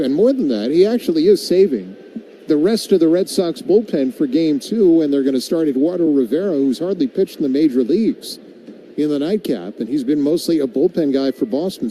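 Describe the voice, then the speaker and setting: A man calling a baseball game as a radio play-by-play announcer, describing each pitch and filling in between with commentary. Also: dark tone, a little hiss or hum, rough recording.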